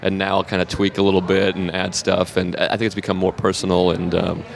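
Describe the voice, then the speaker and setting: A man in his thirties with a Boston accent, a comedian guest in a casual interview, halting and self-deprecating with frequent laughs. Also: low voice, completely flat delivery, creaky, crowd noise.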